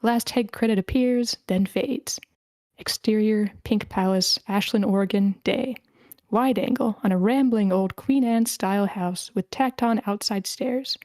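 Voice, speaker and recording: A woman's voice: mid-range voice, a little slow, darkish tone, dry recording, clean studio sound. A woman hosting and narrating an informal online screenplay reading, casual and prone to interrupting herself. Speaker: table read host and narrator.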